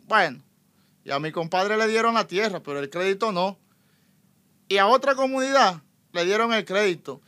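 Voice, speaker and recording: A man's voice: high voice, pushed and bright. An actor playing an embittered, wry Dominican peasant with a Dominican accent, telling his story colloquially in a radio drama. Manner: colloquial storytelling with bitter irony.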